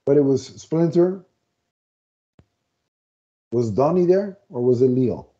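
Dark-voiced man rambling on a video call. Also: medium pitch, lively intonation, slow pace. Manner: rambling, often searching for words. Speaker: podcast co-host. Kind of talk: casual comic review chat. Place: home room, video call. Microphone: headset. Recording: dull lo-fi video call audio.

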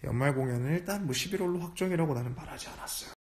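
A man's voice: on the high side, rapid-fire. Young guy, casual late-night phone livestream, reads comments and chats back, laid-back. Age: young adult. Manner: relaxed, chatty, switching between English and Korean. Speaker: livestream host. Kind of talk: casual live stream chat, reading viewer comments aloud. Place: dim room indoors at night. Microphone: phone held close at arm's length.

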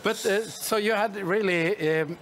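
A middle-aged man from Norway interviewing guests in a large expo hall, playful but halting with many fillers. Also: high-pitched, fairly bright tone, slight background noise. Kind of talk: casual interview.

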